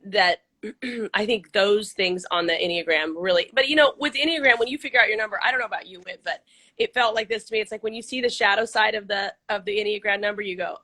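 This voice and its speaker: A middle-aged woman from Central California, a little hoarse, talking casually and upbeat with lots of ums and restarts in an interview. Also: medium pitch, quick pace, bright, forceful tone. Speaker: interview guest, nonprofit CEO. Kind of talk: casual video-call interview.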